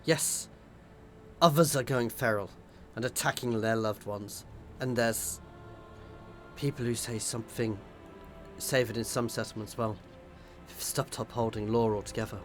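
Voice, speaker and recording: A man with a slightly hoarse voice reading aloud the lines of a desperate male peasant rebel prisoner, in a hushed, pleading way. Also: medium pitch, steady tone, unhurried, soft background music, close to an everyday voice.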